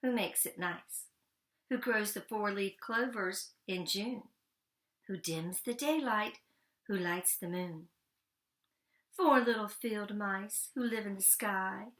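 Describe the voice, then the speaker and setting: A woman voicing a small male field mouse, a calm and dreamy poet in a children's storybook, with a simple, gentle delivery. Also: medium pitch, slow pace, reverberant. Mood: reciting. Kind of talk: children's storybook read-aloud.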